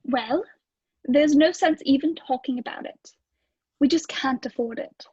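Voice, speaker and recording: Casting: actress as worried London wife and landlady, radio drama style, high register, close dry sound. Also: a little slow, a little mellow, no room sound, highs cut off. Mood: fretful. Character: anxious, fretful London landlady and wife, old radio mystery. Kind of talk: radio play acting.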